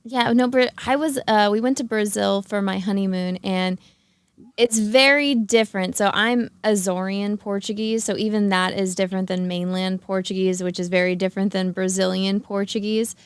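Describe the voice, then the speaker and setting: A woman's voice: medium pitch, steady tone, moderate pace, clean tone, no echo. A woman with a Californian accent, a guest on a casual panel stream, talking in an easygoing way.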